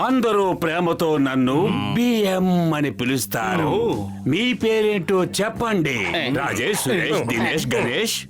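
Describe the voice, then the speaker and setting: Boastful cartoon baddie, high-pitched, slow theatrical drawl, music underneath. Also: male voice, sing-song. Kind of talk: exaggerated cartoon dub acting.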